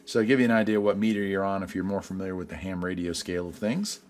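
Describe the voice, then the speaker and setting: A man's voice: deep voice, steady tone, fast talker, darkish tone, a little hiss or hum. A man giving a casual product review in a folksy, easygoing way.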